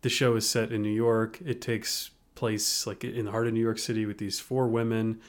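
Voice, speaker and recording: A man's voice: fairly low pitch, flat delivery, moderate pace. A man co-hosting a casual podcast, easygoing and dry. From United States. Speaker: podcast co-host. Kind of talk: casual chat.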